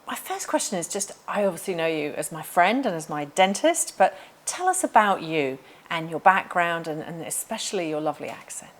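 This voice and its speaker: A woman's voice: fairly low pitch, lively intonation, a little fast, few pauses. A woman hosting an interview, introducing her guest and asking questions in an enthusiastic, encouraging way. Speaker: interviewer, executive coach.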